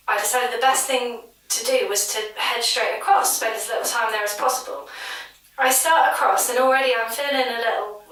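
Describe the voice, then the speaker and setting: An actress playing a wary waitress who tells of an eerie encounter in halting, colloquial speech for a taped audio drama interview. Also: female voice, fairly high pitch, pushed and bright. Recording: echoey old interview tape, distant microphone.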